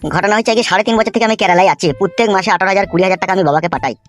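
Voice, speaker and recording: A man's voice: high voice, rapid-fire, soft background music, natural voice. A man voicing a foul-mouthed young cartoon villager, complaining crudely and emphatically. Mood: aggrieved. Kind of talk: comic Bengali cartoon dialogue.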